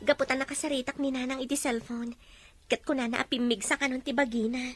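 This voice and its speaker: A woman's voice: sits high, steady tone, fast talker, dry recording, faint music behind. An actress playing an earnest young mother worried about her family.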